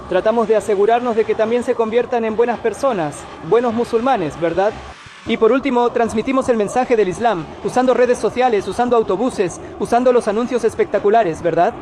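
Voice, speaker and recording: High-voiced Indian-born man explaining earnestly. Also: a little mellow. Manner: earnest, explanatory, uses Arabic phrases. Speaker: guest speaker, organization director. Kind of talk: conversational explanation.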